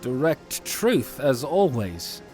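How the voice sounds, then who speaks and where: A man voicing an earnest, deliberate hero who is worried about a coming war. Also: medium pitch, sing-song, darkish tone, soft background music, pitched above the performer's own voice.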